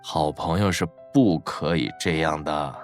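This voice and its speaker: An actor playing a young university student boss in an audio drama, casual, teasing and a little exasperated. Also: male voice, very deep voice, unhurried, faint music behind. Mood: teasing, dry.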